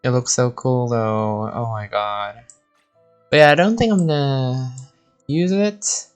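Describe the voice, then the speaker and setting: Guy streaming a game, talks slow, kinda rambles thinking out loud, quiet music under him. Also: mid-range voice, smooth. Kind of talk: casual live gameplay commentary.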